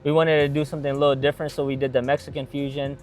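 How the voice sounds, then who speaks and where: A young man from Atlanta talks through his family business in a relaxed, eager ramble, full of "like" and "you know". His voice sits at an ordinary middle pitch. Faint music plays under the conversation. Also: very fast. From Atlanta, Georgia. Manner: eager, rambling storytelling with many fillers. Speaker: gas station and restaurant owner. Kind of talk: casual interview answers.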